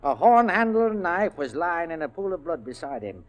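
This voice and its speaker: An actor playing a fussy middle-aged householder in a 1940s radio drama. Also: male voice, fairly high pitch, expressive, normal speed, a bit bright. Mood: earnest.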